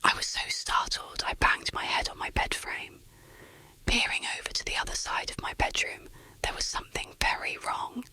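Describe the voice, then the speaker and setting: Someone narrating an ASMR horror story in a whisper, with deliberate, dramatic delivery. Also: bright, forceful tone, no room sound.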